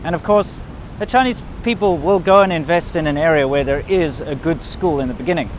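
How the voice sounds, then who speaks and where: A man hosting a casual vlog, talking to the camera outdoors. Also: fairly quick. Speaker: video host. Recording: outdoor rumble and wind noise.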